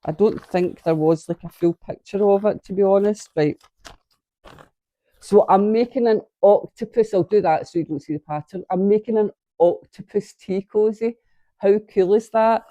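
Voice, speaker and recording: A woman's voice: mid-range voice, normal speed, mellow, dry recording, highs cut off. A woman chatting casually to camera at home about her knitting, a bit congested from sinus trouble, rambling and breaking off mid-sentence. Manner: chatty, rambling, self-interrupting. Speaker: knitting vlogger. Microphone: camera on a tripod.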